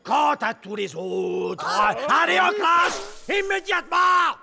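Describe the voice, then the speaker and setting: A man in a French cartoon dub playing a tyrannical, pompous school principal creature who barks out orders in drawn-out syllables. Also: very high-pitched, big pitch swings, gravelly, a loud crowd, full character voice. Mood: furious. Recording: loud music under the voice.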